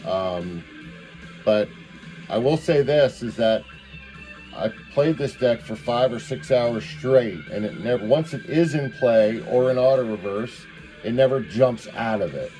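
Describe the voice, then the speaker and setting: A man's voice: medium pitch, measured pace, dark tone, low-quality recording. A man giving a casual hands-on product demonstration, explaining step by step and thinking aloud. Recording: music playing in the background.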